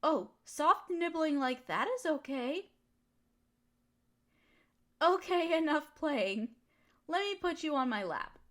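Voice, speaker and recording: A woman's voice: high voice, animated, slow pace, pauses often. A woman playing an affectionate, teasing girlfriend in an intimate comfort roleplay, soothing and cooing as she pampers her partner. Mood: cooing, playful. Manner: cooing, soothing pet-talk. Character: affectionate, teasing, nurturing girlfriend of a fox spirit. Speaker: audio roleplay voice performer.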